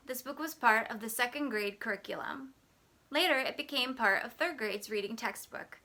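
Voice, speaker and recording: A middle-aged woman reading a picture book aloud to children in an expressive, deliberate storytelling manner. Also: fairly high pitch.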